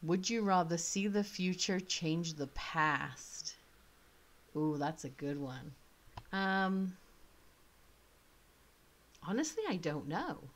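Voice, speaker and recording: A woman's voice: fairly low pitch, animated, takes it slowly, long pauses. A woman in her thirties with a slight British accent, chatting casually and thinking aloud as she plays an online choice game. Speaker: author playing a choice game. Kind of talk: casual commentary. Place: small room indoors.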